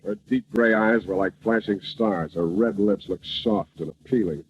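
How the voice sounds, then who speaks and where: An actor playing a hard-boiled, wisecracking private eye with cool deadpan delivery in an old-time radio comedy. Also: male voice, on the low side, normal speed, close to an everyday voice. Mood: brooding, deadpan. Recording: noisy, dull old radio broadcast recording.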